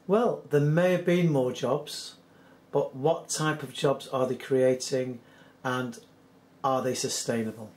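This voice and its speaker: A hoarse British man hosting a solo commentary, reading out news articles in an earnest, skeptical, measured way. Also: medium pitch, steady tone, darkish tone. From United Kingdom. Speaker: channel host.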